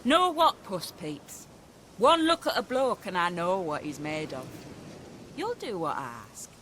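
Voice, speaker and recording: A sharp, forceful female voice. It is a cocky, coarse fantasy game swordswoman, mocking and pushy. Faint noise sits behind her. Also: lowish voice, big pitch swings, measured pace. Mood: cocky, commanding. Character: brash, pushy sword-fighting ruffian woman, mocking and vengeful. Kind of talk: video game voice acting.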